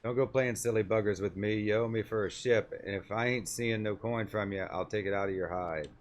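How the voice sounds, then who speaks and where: Man doing a gruff, salty shipwright, low voice. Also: fairly quick, slight background noise, natural voice. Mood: angry, threatening. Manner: salty working-class dialect, blustering. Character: gruff, rough-spoken male shipwright, quick-tempered but helpful.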